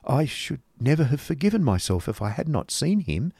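Man doing a plaintive, fussing mother, higher-pitched. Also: very animated, moderate pace, creaky, husky, dry recording, studio quality, exaggerated voice. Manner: plaintive, soft. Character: anxious, tender, fussing middle-aged mother.

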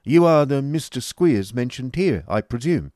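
A man voicing a polite, mildly amused gentleman passenger who speaks with dry irony. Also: mid-range voice, lively intonation, normal speed, no echo, pitched above the performer's own voice, natural voice. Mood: politely ironic.

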